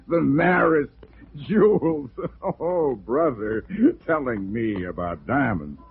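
A man's voice: medium pitch, expressive, takes it slowly, vocal fry. A man playing a blunt, skeptical police detective who talks in slang, in 1940s radio drama style. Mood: mocking amusement. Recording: noisy, tinny 1940s radio recording.

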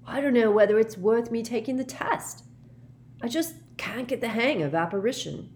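A woman's voice: mid-range voice, very animated, a little slow, voice pushed up. A woman voicing a teasing, easily discouraged teenage schoolboy in an audiobook, with a wry manner.

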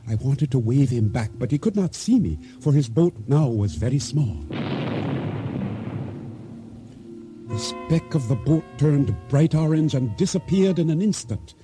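A very dark, mellow male voice of an actor as a solemn boat owner in a radio play. He tells his tale in a measured, wary way, with faint music underneath. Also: mid-range voice, expressive, natural voice. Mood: grave, regretful. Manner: deliberate, solemn, measured storytelling. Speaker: narrator and lead actor. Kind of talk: radio drama acting, first-person storytelling.

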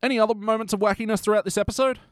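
A man's voice: sits high, fairly even intonation, racing, dry recording, clean studio sound. A man hosting a casual comedic review podcast, joking and swearing freely. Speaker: podcast host. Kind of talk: casual comedic chat reviewing a cartoon episode.

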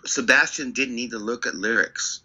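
A man, a guest on an interview, telling studio stories in a casual, animated way with short insistent bursts. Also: mid-range voice, normal speed, husky, dull audio. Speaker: record producer guest.

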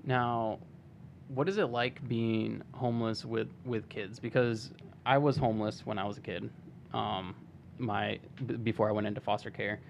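A man hosting a casual interview podcast, his voice hoarse and starting to give out. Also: lowish voice, steady tone, normal speed, lo-fi sound, a little hiss or hum. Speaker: podcast host interviewing a guest.

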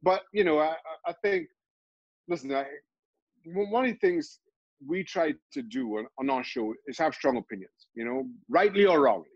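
A middle-aged man from Trinidad and Tobago, a football pundit, answering interview questions in a halting way, restarting and repeating words often. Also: a little high, animated, darkish tone, no echo, lo-fi sound. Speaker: football pundit and show host.

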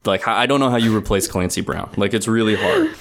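A man's voice: fairly low pitch, fast, a little hiss or hum. A man co-hosting a casual film discussion, earnest and thinking aloud as he goes. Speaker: podcast co-host.

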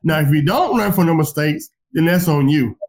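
A man's voice: a little high, normal speed, a little mellow. A man hosting an interview and giving motivational advice in a lecturing way. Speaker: host. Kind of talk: encouraging interview talk.

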